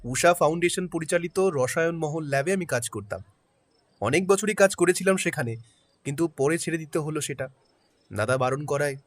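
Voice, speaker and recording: A man's voice: fairly high pitch, normal speed, no room sound, lightly acted. A man playing a guarded middle-aged man who tells a sad family history in a somber, reluctant way. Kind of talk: audio drama acting. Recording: faint background music.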